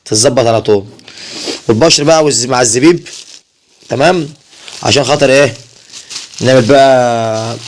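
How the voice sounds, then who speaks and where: A man's voice: mid-range voice, slight background noise. A man with an Egyptian accent chatting casually to viewers as a family vlogger, often repeating himself and addressing them directly.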